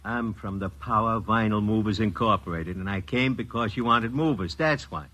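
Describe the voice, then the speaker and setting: A man's voice: on the low side, normal speed, bright, forceful tone. An actor playing a brusque, impatient, wisecracking working-class moving man in a mid-century radio drama. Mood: impatient, sarcastic. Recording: vintage radio recording.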